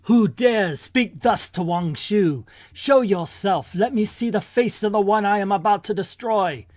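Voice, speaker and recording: A boastful comic-book tyrant played by a man blusters in a raised, high voice. He barks commands like a warlord who expects to be obeyed, in a dry, close recording. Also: unhurried, darkish tone, no echo. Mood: boastful menace. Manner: blustering, barking orders. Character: loud boastful tyrannical male warlord villain. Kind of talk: comic book villain read-aloud.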